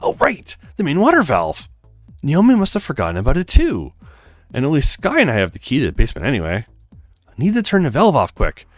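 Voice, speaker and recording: A man's voice: mid-range voice, wildly swooping pitch, fairly quick, dry recording, faint music behind. A man reading the part of a conscientious, pensive young man, the protagonist of a visual novel. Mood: concerned.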